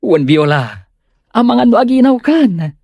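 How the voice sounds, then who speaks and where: A man's voice: sits high, a little slow, creaky, dry recording, full character voice. A man playing an earnest, doting young husband who keeps the peace in a comedy audio drama. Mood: concerned. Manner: expressive, earnest.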